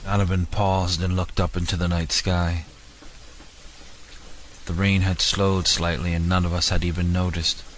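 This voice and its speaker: A man with a fairly low voice narrates a dramatic story in the first person. Rain and faint noise sit behind him. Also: flat delivery, unhurried. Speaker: audiobook narrator.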